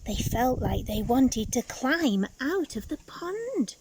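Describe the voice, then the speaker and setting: A woman reading a picture book expressively to young children, with dramatic pauses and asides to her listeners. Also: sits high, big pitch swings, noisy background.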